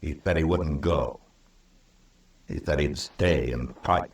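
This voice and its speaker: Frontier marshal, American, deep voice, stern and deliberate, old radio-western delivery, echoey recording. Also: male voice, exaggerated voice. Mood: bitter, resolute. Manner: firm, deliberate, moralizing. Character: stern, principled Old West U.S. marshal, grave and weary. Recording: roomy, distant vintage radio recording.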